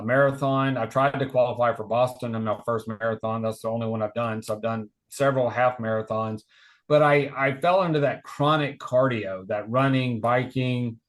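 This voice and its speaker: A man in his forties, a podcast guest on a video call, telling stories in an earnest, rambling way. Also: mid-range voice. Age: 40s. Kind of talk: casual conversation on a video call. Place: home, remote video call. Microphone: video call microphone.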